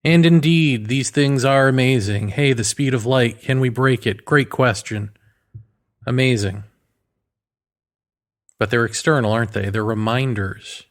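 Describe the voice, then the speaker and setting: A man delivering an opinionated philosophical monologue in a sardonic way, leaning on rhetorical questions and emphatic repetition. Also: medium pitch. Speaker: commentator delivering a solo monologue.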